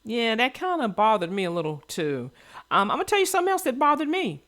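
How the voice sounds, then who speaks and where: A woman talks casually as a co-host about a children's story in a somewhat high voice. Her pitch swings widely as she makes her points emphatically and hands out advice in everyday conversational language. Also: very animated, fast, fairly bright tone. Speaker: read-aloud show co-host.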